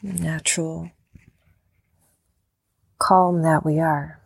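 A woman leading a guided meditation, speaking calmly and gently in short phrases with long pauses. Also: low-pitched, vocal fry, husky, no room sound. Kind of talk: guided meditation, softly spoken instruction.

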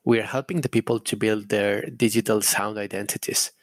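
Lowish male guest with Spanish accent. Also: steady tone, brisk, no room sound, clean studio sound. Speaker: audio director, interview guest. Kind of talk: explanatory interview answers.

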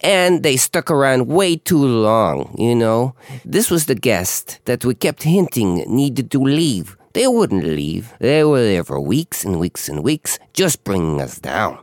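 A man's voice: mid-range voice, very animated, slow, no echo, clean studio sound. A man hosting a podcast with a scratchy voice, rambling and joking at his own expense. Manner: chatty, joking, self-mocking. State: hoarse, scratchy voice. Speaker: podcast host. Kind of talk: casual rambling monologue.

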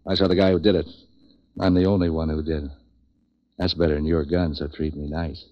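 An actor playing a street-wise, tough sleuth for hire in a 1940s radio noir drama, deadpan, clipped and wisecracking. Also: male voice, very deep voice, fairly quick. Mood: cool, needling. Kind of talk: 1940s radio noir first-person narration and dialogue. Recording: dull, lo-fi 1940s radio recording.

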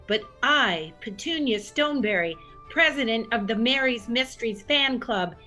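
A woman playing an excitable, self-important superfan who narrates a comedy audio drama in a grandiose, theatrical way. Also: on the high side, normal speed, soft background music. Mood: triumphant, grandiose.

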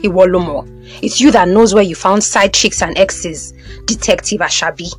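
A woman's voice: on the low side, normal speed, faint music behind, natural voice. A woman voicing a dismissive young Nigerian husband in an audio drama, sarcastic and needling, with a Nigerian accent.

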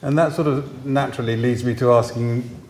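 A man interviews guests in an art gallery, asking questions in a halting way and often repeating words. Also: mid-range voice, fairly even intonation, a little mellow, a little hiss or hum. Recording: roomy gallery echo.

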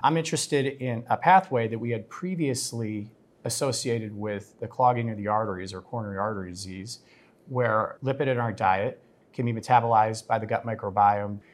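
A man speaking as a guest physician and researcher in a podcast interview, explaining in a measured, technical way. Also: lowish voice.

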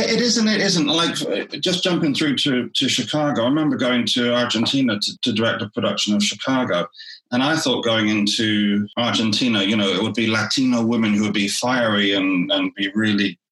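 A man who is a theatre director, talking through his work in a relaxed interview and often restarting his phrases. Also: lowish voice, a little fast, roomy sound, low-quality recording.

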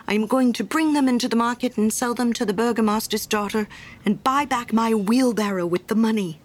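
A woman's voice: on the high side, brisk, lightly acted. A woman voicing a humble, cheerful poor gardener who speaks eagerly and gratefully.

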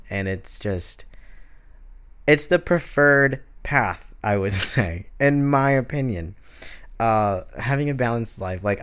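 A young man in his twenties talks through his thoughts on a solo podcast. His voice sits a little low, and he rambles, circles back and fills pauses with "like" and "um." The delivery is loose, casual chat. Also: fairly bright tone. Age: 20s. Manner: rambling, heavy use of "like", restarts. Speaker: podcast host.

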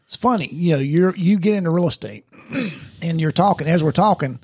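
A man with a nasal, husky voice pitched a bit high, trading jokes in easy podcast banter. The sound is dry and close, with no room around it. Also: animated, normal speed, a little mellow, no room sound. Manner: joking, teasing banter. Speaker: podcast co-host. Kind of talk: casual chat.

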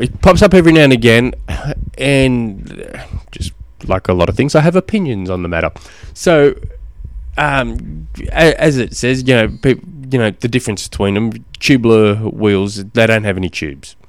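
A man hosting a casual solo podcast, slightly hoarse from a cold, rambling with many ums and restarts. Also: mid-range voice, lively intonation, moderate pace, no echo. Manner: rambling, self-deprecating, lots of ums and restarts. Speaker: podcast host and cycling coach.

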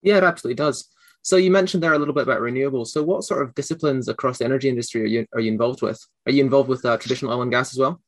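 A man's voice: medium pitch, very fast, no room sound. A man interviewing a guest in a conversational business style.

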